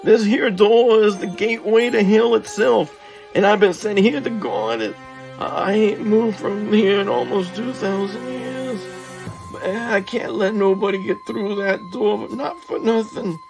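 A man putting on a very old doorkeeper who has sat at hell's gate for ages. He drawls in a folksy way and calls younger men sonny, pitched a little higher than the reader's own voice, with soft music underneath. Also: a little slow, vocal fry, poor audio. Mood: ominous. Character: ancient old man guarding hell's door.

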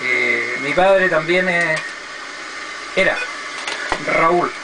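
A man's voice: fairly high pitch, very slow. A middle-aged man chatting casually and giving call signs on amateur radio. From Chile. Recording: noisy amateur radio satellite contact.

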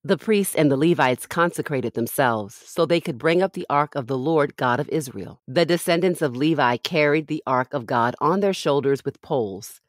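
A woman's voice: low voice, moderate pace, hardly any pauses, no room sound, studio quality. A woman reading Bible passages aloud from a script as a podcast host.

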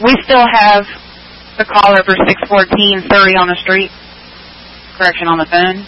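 A male dispatcher making brisk, code-heavy calls over a two-way radio. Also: high-pitched, steady tone. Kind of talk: clipped radio traffic. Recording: muffled two-way radio with faint static.

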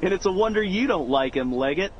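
Wisecracking American GI, game cutscene. High-pitched, very animated, sarcastic, loves to tease. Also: male voice, fairly quick, lo-fi sound, slight background noise. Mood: teasing. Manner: sarcastic, argumentative. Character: wisecracking, teasing young American paratrooper.

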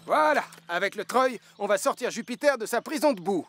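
A steady fire captain who keeps his head and gives clear, calm orders. The voice sits very high for a man, and bright cartoon music plays underneath. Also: a little fast. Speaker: firefighter. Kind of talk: French cartoon dub.

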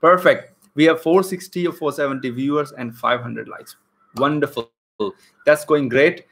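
A man in his thirties with an Indian accent and a slightly high voice. He hosts a live English class from a small room, talking casually to the viewers. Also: moderate pace, a bit bright. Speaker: online English teacher and live stream host.

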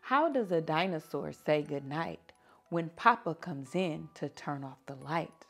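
A woman reading picture books aloud to children like a teacher, with animated, drawn-out emphasis. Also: sits low, faint music behind. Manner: animated, drawn-out emphasis, asking listeners questions. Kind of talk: expressive children's story read-aloud.

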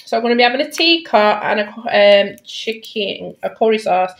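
A woman's voice: mid-range voice, animated, normal speed. A British woman chatting casually and informally as a family vlogger, often repeating words for emphasis, recorded indoors at home. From Britain. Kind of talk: casual grocery haul chat to camera. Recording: clear background noise, packaging crinkling. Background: crinkling packets, clicks, handling noise.

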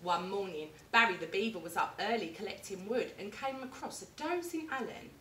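A young woman teacher reading a picture book aloud with lively, expressive storytelling, in a classroom reading corner recorded from a distance. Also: mid-range voice, fairly quick, a little hiss or hum. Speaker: primary school teacher. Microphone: camera at a distance. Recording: echoey room, mic far off.

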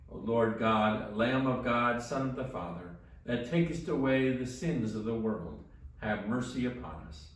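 An older man, a rector, leading morning prayer and reading steadily from a book in a small church chapel, heard from a camera some distance away. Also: mid-range voice. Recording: very echoey room, voice from afar.

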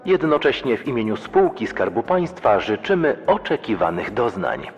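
A man's voice: mid-range voice, very animated, normal speed, muffled recording, lightly acted. An actor as a reverberant, formal male announcer. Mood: officious. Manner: official, ceremonious. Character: formal, bureaucratic male announcer of a state institute. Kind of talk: public address announcement in audio drama. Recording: long hall reverb, faint music.

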